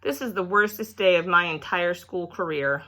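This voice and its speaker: A woman's voice: on the low side, normal speed, sharp tone, low-quality recording. A woman voicing a grumpy, dramatic little girl who tells her own story in a children's read-aloud, with emphatic, sulky, childlike phrasing. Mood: indignant.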